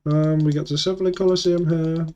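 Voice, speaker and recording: A man's voice: a little high, flat delivery, brisk, darkish tone, muffled recording. Sniffly man muttering game commentary. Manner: muttering, self-correcting play-by-play. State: sniffly with a cold and sore throat. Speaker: card game streamer. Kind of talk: thinking-aloud gameplay commentary.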